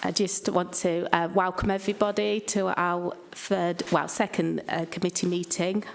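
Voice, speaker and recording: A woman's voice: fairly low pitch, flat delivery, normal speed, vocal fry, slight background noise. Hesitant woman chairing a council meeting. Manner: hesitant, many fillers. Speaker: committee chair. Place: council chamber.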